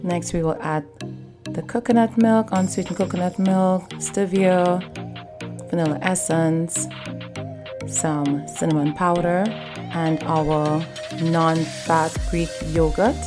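Woman, lowish voice, calm step-by-step recipe narration over faint music. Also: slow. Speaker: cooking channel host.

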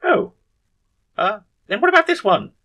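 A man's voice: extremely high pitch, sing-song, a little slow, bright, forceful tone, pitched above the performer's own voice, heavily acted. A man voicing a flustered, stubborn, eager female head surgeon in a comic story.

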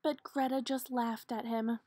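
Husky woman reading a picture book slowly. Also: a little high, level tone, warm tone, no room sound, studio quality. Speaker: storybook reader. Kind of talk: expressive children's read-aloud narration.

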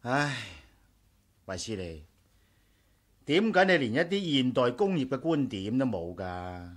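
An actor with a Hong Kong Cantonese accent playing an eager, stubborn engineer in a 1980s radio drama. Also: male voice, medium pitch, sing-song, measured pace. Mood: exasperated, insistent. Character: eager, stubborn male engineer, proud of his gadgets.

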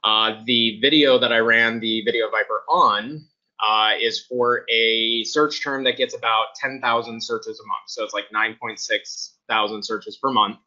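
Guy on a webinar giving a testimonial, really sharp bright voice, losing it a bit, dull audio. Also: on the low side, steady tone, a little slow. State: starting to lose his voice, often clearing his throat. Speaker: guest user giving a testimonial.

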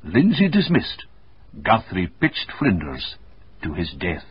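An actor playing an investigator in a radio drama, formally and deliberately recounting a crime. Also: male voice, low voice, wildly swooping pitch, breathy edge, heavily acted. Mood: grave, dramatic. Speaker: narrator. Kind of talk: dramatic radio play narration. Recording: dull, noisy old radio recording.

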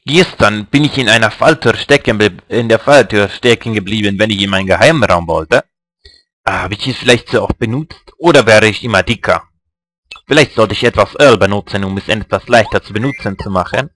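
A man's voice: fairly low pitch, a little fast, fairly bright tone, dry recording. A man voicing a shy younger brother in a video game, reading his diary aloud hesitantly.

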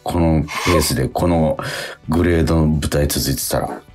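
Very deep-voiced, husky man chatting. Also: steady tone, normal speed, darkish tone, faint music behind. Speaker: radio host, stage actor. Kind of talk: casual radio chat.

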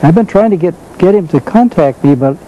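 An older American man chatting casually as a talk show co-host, halting and repeating words as he searches for the next one. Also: a little high, expressive, highs cut off, a little hiss or hum. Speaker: talk show co-host, former radio and TV writer. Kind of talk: casual chat. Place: local community television talk show.

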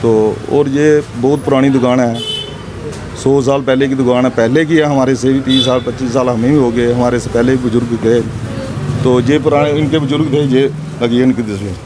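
A man's voice: mid-range voice, steady tone, moderate pace. Middle-aged shopkeeper man, rambling. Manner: plain, rambling, repeats himself. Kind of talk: interview. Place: inside a small shop. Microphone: handheld mic held to him. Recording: street noise behind.

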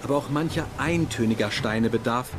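A man's voice: medium pitch, brisk, music in the background, close to an everyday voice. An actor playing a composed German imperial naval officer, recounting his memories formally in a documentary radio drama. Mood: composed, reflective.

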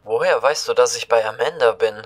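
A man's voice: mid-range voice, brisk, natural voice. A man playing a sheepish deputy in an audio drama. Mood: casual, sheepish.

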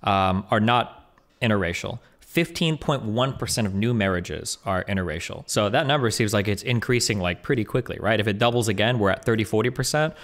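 A man streaming a casual online debate, arguing quickly with profanity and mocking laughs. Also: on the low side, fairly bright tone. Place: Los Angeles.

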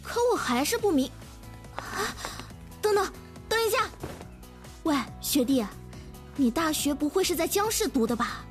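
A woman's voice: high-pitched, animated, measured pace, long pauses, soft background music. An actress playing a pert, dramatic, huffy young woman in a romance audio drama. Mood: flustered, huffy.

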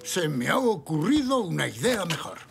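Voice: medium pitch, sing-song, moderate pace, vocal fry, music in the background. An actor playing a genial, playful old grandfather zebra in a children's cartoon.

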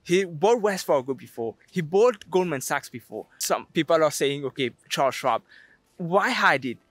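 A man's voice: on the high side, animated, normal speed, a bit bright, dry recording. A young man presenting an investing explainer to camera, speaking emphatically. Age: young adult. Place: outdoors on a sandy beach.

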